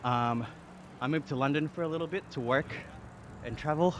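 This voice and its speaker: A man's voice: fairly high pitch, moderate pace. A young Australian man streaming live while riding an exercise bike, casually chatting, reading out viewer comments and often laughing. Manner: upbeat, laughs often, thanks viewers warmly. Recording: game sounds and traffic noise behind.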